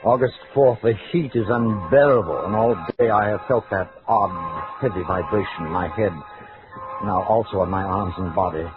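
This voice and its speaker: A man's voice: lowish voice, normal speed, natural voice. An actor playing a cold, precise, increasingly tormented scholar who narrates his murder journal in formal, self-important diction in an old radio drama. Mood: suffering, oppressed. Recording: vintage radio, narrow band, music behind.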